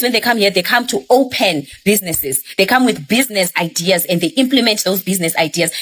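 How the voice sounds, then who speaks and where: A woman's voice: on the low side, a bit bright, slight background noise. A woman from Zimbabwe making an earnest, persuasive appeal as a community organizer, rallying her listeners.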